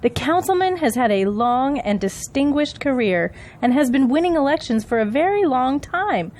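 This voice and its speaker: A woman's voice: high voice, expressive, moderate pace, a little mellow. A woman playing an indignant, loyal political campaign aide in an audio drama, defensive and argumentative. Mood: indignant, protective.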